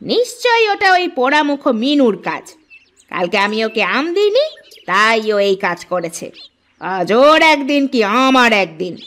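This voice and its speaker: A woman acts out a scolding village stepmother for a cartoon story. Her voice is high and sharp, rising and falling dramatically. She nags with biting sarcasm, over background music. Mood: angry, sarcastic. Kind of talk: Bengali animated cartoon story acting.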